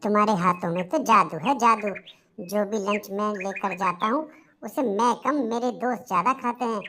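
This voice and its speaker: A woman's voice: mid-range voice, moderate pace, sharp tone, slight background noise, natural voice. A woman voicing a happy, doting young husband bird, affectionate and admiring, in a cartoon story.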